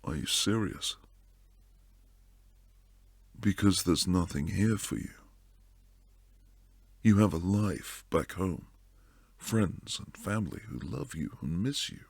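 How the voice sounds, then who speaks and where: A man playing a protective, devoted bodyguard and secret lover in an intimate boyfriend roleplay, low and husky, speaking earnestly close to the mic. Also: lively intonation, slow pace, pauses often, dry recording, exaggerated voice. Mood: pleading, tender. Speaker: audio roleplay performer. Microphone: close, dry studio mic.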